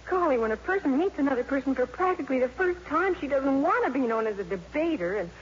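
A woman's voice: high-pitched, lively intonation, quick pace. An actress playing an earnest, easily flustered teenage schoolgirl with a stammering, breathless delivery in a 1950s radio drama. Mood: indignant. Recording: muffled, noisy 1950s radio recording.

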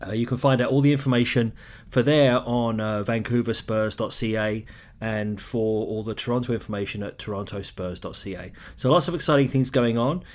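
A man's voice: medium pitch, fairly quick. A man with an English accent hosting a solo podcast in a loose, chatty way, full of ums and uhs. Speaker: podcast host.

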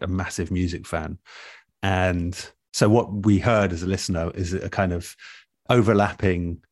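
A man talking reflectively as the guest in a relaxed interview, a radio producer looking back on his work. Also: deep voice, dry recording, studio quality.

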